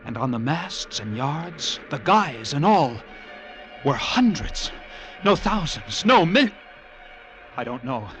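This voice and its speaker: An actor playing a lighthouse keeper who narrates his ordeal in hushed, dread-filled tones, in 1940s radio acting style. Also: fairly high pitch, big pitch swings, slow pace, full character voice. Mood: tense. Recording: old radio recording with music.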